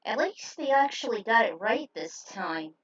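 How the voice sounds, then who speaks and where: A woman's voice: a little high, very animated, moderate pace, breathy edge, dry recording, muffled recording. A woman narrating a story, slipping into informal, chatty asides in a casual way. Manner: informal, chatty commentary between passages. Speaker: fan fiction narrator.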